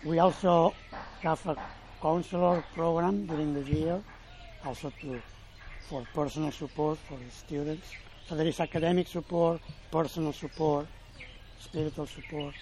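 Man, slightly high voice; halting Q&A speaker, faint outdoor noise. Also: steady tone, darkish tone, poor audio. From Spain. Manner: halting, self-correcting, explanatory. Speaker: college representative. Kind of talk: informal Q&A presentation.

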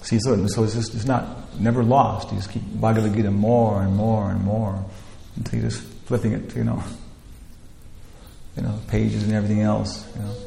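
A man gives a loose, rambling talk on scripture, leaning on fillers and starting phrases over. His voice has a gravelly edge. The sound is roomy, with a long hall echo. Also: on the low side, level tone, moderate pace, dark tone, a little hiss or hum. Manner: rambling, many "you know" fillers and restarts. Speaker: teacher leading a scripture class. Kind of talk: informal spiritual lecture.

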